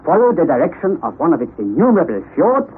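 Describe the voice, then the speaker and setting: Excitable old professor, high and swooping. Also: male voice, fast. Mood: lecturing. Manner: theatrical, declamatory, exclamatory. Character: excitable eccentric older scientist uncle, obsessive and domineering. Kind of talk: vintage radio drama acting. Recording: tinny, noisy old radio recording.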